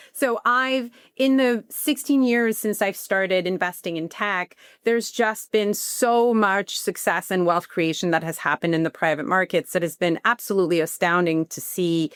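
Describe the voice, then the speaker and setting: A woman in her late thirties, raised in France, answers interview questions eagerly and often restarts her sentences. Her voice is smooth and clear, and she is heard dry and close with no room around her. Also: mid-range voice, no echo. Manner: warm, eager, some restarts. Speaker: guest. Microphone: remote call.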